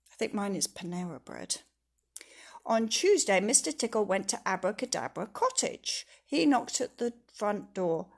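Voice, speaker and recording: A woman's voice: mid-range voice, big pitch swings, normal speed, vocal fry. A woman reading a children's story aloud expressively and emphatically, with asides to her listeners. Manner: emphatic, engaging listeners with questions.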